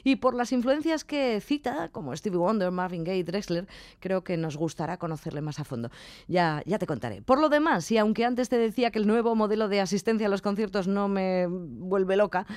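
A woman's voice: medium pitch, brisk, a little mellow, no echo, studio quality. A woman hosting a radio music show, chatting directly to the listener as she introduces songs. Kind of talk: radio presenting between songs.

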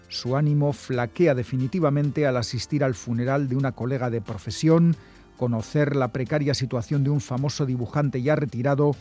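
A man's voice: mid-range voice, warm tone. A man reading a scripted review as a book critic, with faint instrumental music behind him.

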